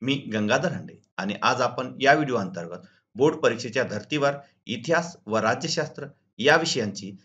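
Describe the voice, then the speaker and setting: Dull lo-fi recording of an animated male teacher. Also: mid-range voice. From Maharashtra, India. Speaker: school teacher and video channel host. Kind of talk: instructional exam walkthrough, reading questions and answers.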